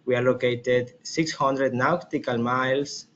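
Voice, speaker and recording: A man from Ecuador with an Ecuadorian Spanish accent, giving an informal slide presentation as an expedition guide, haltingly, often checking in with listeners. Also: medium pitch, steady tone, a bit bright. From Galapagos Islands, Ecuador. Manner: halting, with frequent "right?" check-ins. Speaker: expedition leader and biologist presenting. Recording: dull webinar audio, faint noise.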